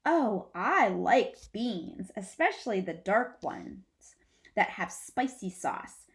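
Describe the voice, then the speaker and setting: A woman's voice: mid-range voice, very animated, slow pace. A woman voicing a naive, literal-minded young male possum with an innocent manner, in a storybook reading. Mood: wistful.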